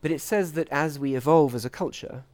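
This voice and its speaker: A man giving reflective interview answers as a scientist, speaking in articulate, measured academic phrases. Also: on the high side, a little mellow. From grew up in Portugal, England and the US. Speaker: interviewee, scientist at a research institute.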